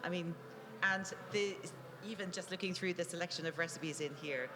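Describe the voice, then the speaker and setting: A slightly croaky woman hosts a festival cooking stage, warmly gushing over books and guests. Her voice rings through a large reverberant hall with faint background noise. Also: fairly low pitch, moderate pace. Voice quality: a little croaky. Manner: gushing, enthusiastic. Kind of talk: live stage introduction.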